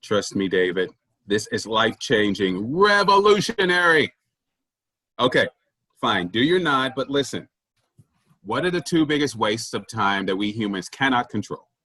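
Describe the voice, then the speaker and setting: A man's voice: medium pitch, sing-song, measured pace, pushed and bright, no room sound, heavily acted. A man in a staged comedy reading playing a pushy, self-important office boss who preaches efficiency emphatically. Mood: insistent, boastful.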